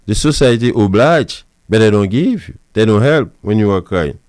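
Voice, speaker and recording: Emphatic preaching man, smooth voice. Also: on the low side, lively intonation, normal speed, darkish tone, no room sound, studio quality. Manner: emphatic, repetitive, lecturing. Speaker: pastor and marriage counselor. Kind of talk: preachy advice monologue.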